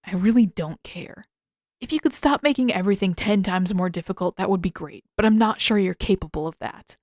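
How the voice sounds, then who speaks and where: A woman's voice: brisk, a little mellow, dry recording, studio quality, natural voice. A woman voicing a surly, guarded but earnest teenage prince in a terse audiobook character voice. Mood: irritated. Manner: terse, irritated, low-key.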